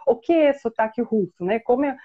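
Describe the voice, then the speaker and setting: A woman's voice: a little high, expressive, fairly quick, slightly rough. A woman researcher answering interview questions in an explanatory way, often ending her phrases with a questioning tag, heard over a video call. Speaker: researcher guest. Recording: dull lo-fi call audio.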